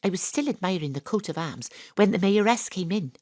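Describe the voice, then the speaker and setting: A woman's voice: medium pitch, lively intonation, fairly quick, a little mellow, no echo, studio quality, natural voice. An actress with a Welsh accent playing a wry, sporty amateur detective who narrates her own story with self-mocking humour. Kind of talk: first-person radio drama narration. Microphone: home studio mic.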